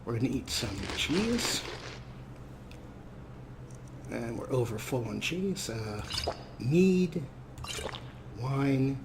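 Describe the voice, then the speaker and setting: A man's voice: medium pitch, sing-song, noisy background. A man hosting a let's play, giving casual, wry gameplay commentary as if half talking to himself. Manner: wry, unhurried, talks to himself.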